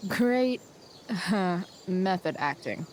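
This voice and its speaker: A woman's voice: on the low side, lively intonation, takes it slowly, recorded from a distance, slight background noise. A woman acting a sardonic, rebellious American teenage girl in a video game, with a dry, slangy delivery. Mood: wry, awkward. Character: sardonic rebellious teenage girl, a punkish high-school dropout.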